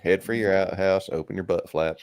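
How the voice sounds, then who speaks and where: A man throws in quick joking asides during podcast banter. His voice is very deep. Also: steady tone, creaky, darkish tone, no room sound. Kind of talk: casual film podcast banter.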